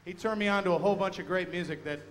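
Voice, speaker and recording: Loud, earnest man announcing on stage. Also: sits high, racing. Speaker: bass player. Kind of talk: introducing a guest on stage. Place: nightclub stage. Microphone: vocal mic on a stand.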